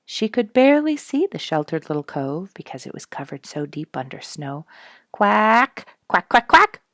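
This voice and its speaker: Female storybook reader, low and husky, expressive delivery, dry recording. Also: moderate pace, no echo. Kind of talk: scripted children's story narration.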